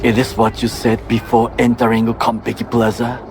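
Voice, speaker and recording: An actor playing a stern, middle-aged Japanese former bodyguard in a video game, with a Japanese accent and formal, measured phrasing. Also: male voice, medium pitch, completely flat delivery, music in the background, natural voice. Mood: grave, cautious.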